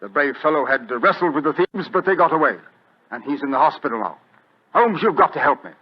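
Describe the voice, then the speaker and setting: Husky, anxious English gentleman. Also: a little high, expressive, brisk. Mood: distressed. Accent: British. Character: anxious, pleading wealthy English gentleman, robbed collector. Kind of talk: 1940s radio acting. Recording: muffled old radio broadcast.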